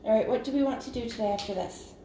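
A woman's voice: on the high side, quick pace. A woman, a mother, chatting casually with her kids while baking in a home kitchen, patiently and firmly correcting them. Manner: patient, firm, gently correcting. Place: home kitchen, large open room. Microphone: camera on counter, off-screen. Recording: echoey room, clear background noise.

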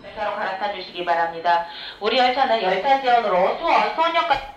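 A woman making a formal, courteous public transit announcement over a train's loudspeakers. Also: expressive, quick pace, dull audio. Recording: echoey, distant train PA with loud rumble. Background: loud train noise.

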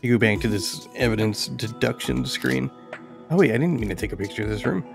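A man's voice: mid-range voice, a little fast, creaky, music in the background. A man giving casual gameplay commentary, musing and thinking aloud as he goes. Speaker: game streamer reviewing indie games.

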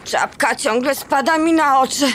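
A woman's voice: high-pitched, normal speed, slight background noise, natural voice. A woman voicing a small, homesick young male elf in a rhyming children's radio play, fretful and tearful. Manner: fretful, whiny rhyming complaints.

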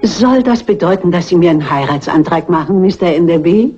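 An actress playing a shrewd, composed elderly spinster detective, deliberate and dryly polite, in a dubbed crime film. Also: female voice, lowish voice, mellow, close to an everyday voice. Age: older. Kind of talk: 1960s crime film dub. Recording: muffled old film soundtrack with music.

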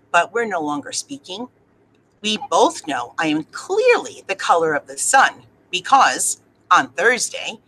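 A woman's voice: medium pitch, bright, forceful tone, poor audio. A middle-aged woman reading a children's storybook as a huffy, boastful crayon, speaking emphatically. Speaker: school staff member reading aloud. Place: indoor office or classroom. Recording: clear background room noise.

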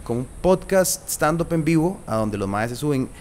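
A young man with a Costa Rican Spanish accent, hosting a casual interview on a veranda and speaking in animated, slangy bursts. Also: fairly high pitch, normal speed. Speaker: podcast host. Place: shaded outdoor veranda. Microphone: studio mic on boom arm.

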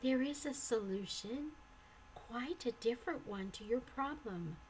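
Woman acting a kind, earnest, high-voiced friend. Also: unhurried, slight background noise, lightly acted, low-quality recording. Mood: gentle counsel. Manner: gentle, earnest, reassuring. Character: kind, loving, earnest female friend and comforter.

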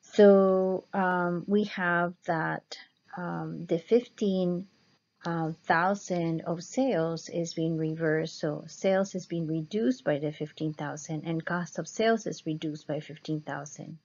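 A woman instructor explaining slides step by step in a measured lecture style. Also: fairly low pitch, fairly even intonation, a little mellow. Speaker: accounting instructor. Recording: dull, muffled lo-fi voice-over.

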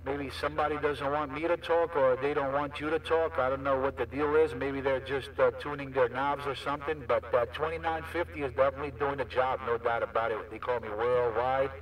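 A man's voice: medium pitch, steady tone, fairly quick, few pauses. A man chatting casually as a CB radio operator, with repetitive, slangy patter. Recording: distant CB radio transmission.

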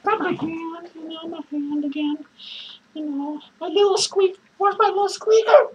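A woman playing an unhinged, drunk male clown who rambles crudely, cackles manically and lurches between shouts and mutters. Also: very high voice, fairly even intonation, moderate pace, muffled recording, noisy background. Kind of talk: improvised character monologue to viewers.

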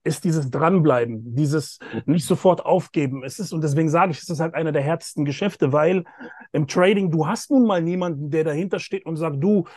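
Male host, 30s, video call, fairly high voice, emphatic and repetitive. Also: a little fast, darkish tone, no room sound, studio quality. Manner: emphatic, repeats phrases for stress. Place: outdoors by a pool with greenery. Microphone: phone or laptop camera, video call.

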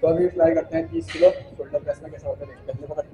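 Mellow young man, casual gym vlogger. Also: a little high, huge pitch swings, very fast, highs cut off, soft background music. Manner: slangy, joking, loosely rambling. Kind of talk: casual vlog chat, teasing banter with friends.

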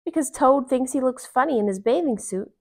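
A woman's voice: sits high, lively intonation, fairly quick, voice pushed up. A friendly storybook frog voiced by a woman. He is candid and plain-spoken, read aloud for young children. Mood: explaining.

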